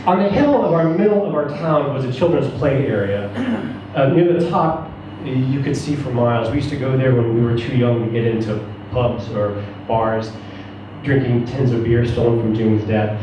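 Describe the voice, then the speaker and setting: Man reading a story in a very echoey room. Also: medium pitch. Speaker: story reader at a live reading. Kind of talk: dramatic reading of a first-person short story. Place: echoey room, away from the mic. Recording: roomy, echoing, distant mic, faint noise.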